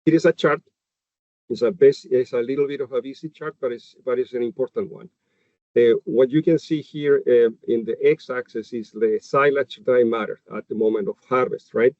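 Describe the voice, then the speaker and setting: A man from Chile with a Chilean Spanish accent, a livestock nutritionist giving a webinar presentation, pausing often and restarting phrases. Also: mid-range voice, steady tone, a little mellow, no echo. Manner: hesitant, many fillers and restarts while explaining slides. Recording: dull, dry webinar audio.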